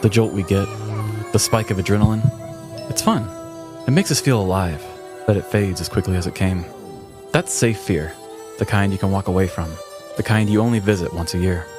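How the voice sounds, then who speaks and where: A man's voice: low voice, moderate pace, long pauses, music in the background. A man co-hosting a podcast, telling stories in a casual, comedic way. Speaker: podcast co-host. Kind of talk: casual comedic storytelling chat.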